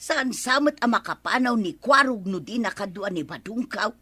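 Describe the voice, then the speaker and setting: A woman with an Ilocano accent playing a sharp-tongued, chatty village woman in a radio comedy drama, speaking forcefully. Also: medium pitch, lively intonation, normal speed, slightly rough, no echo. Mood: brisk, annoyed.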